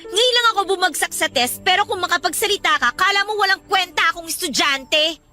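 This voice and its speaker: A woman's voice: very high voice, fairly quick, pushed and bright, exaggerated voice. A woman playing a defensive, resentful student snapping sarcastically at a best friend in a radio drama. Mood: defensive, sarcastic. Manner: sarcastic, confrontational. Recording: music bed under the voice.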